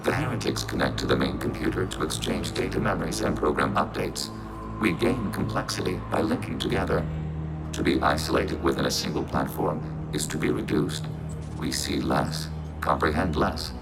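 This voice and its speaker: An actor voicing a calm, logical machine mind in a video game, measured and formal, with synthetic processing on the voice. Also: male voice, a bass voice, gravelly, over loud music. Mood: clinical, informative. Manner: measured, clinical, formal, speaks as "we". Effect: synthetic robot voice processing. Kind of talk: video game character acting.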